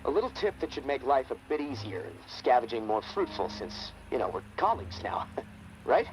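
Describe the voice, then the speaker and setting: A man's voice: medium pitch, very animated, fairly quick, slight background noise, close to an everyday voice, rough recording. A man playing a friendly, eager video game technician who guides a survivor, hesitant and stammering. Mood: excited, chatty. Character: friendly, nervous, eager male technician guiding survivor by radio. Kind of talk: naturalistic video game voice acting.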